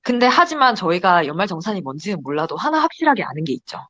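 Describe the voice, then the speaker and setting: A woman's voice: lowish voice, big pitch swings, quick pace, bright, forceful tone. A young woman in her twenties giving a casual, chatty slide presentation to a study group. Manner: chatty, giggles between points.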